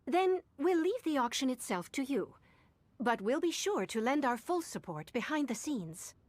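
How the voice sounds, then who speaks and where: An earnest young female secretary from a video game. Her voice is high and swings animatedly in pitch, always polite and deferential to her employer. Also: sing-song, normal speed, a little mellow, dry recording. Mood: supportive.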